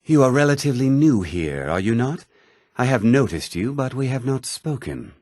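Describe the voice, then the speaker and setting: An actor voicing a learned, haughty mage scholar in a fantasy video game, speaking formally and with measured, precise diction. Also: male voice, medium pitch, big pitch swings, darkish tone, no echo, studio quality. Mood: stern, formal. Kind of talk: scripted fantasy game dialogue.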